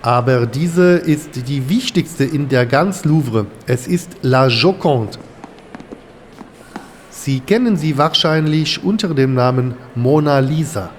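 An actor playing a courteous, enthusiastic French museum guide with a French accent, speaking deliberately and searching for words. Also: male voice, mid-range voice, natural voice. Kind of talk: German audio drama, guided museum tour. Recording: reverberant hall with faint ambient noise.

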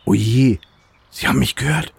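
A man's voice: medium pitch, unhurried, creaky, background noise. An actor playing an easygoing solitary man who mutters and exclaims to his dog in a German radio play. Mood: bemused.

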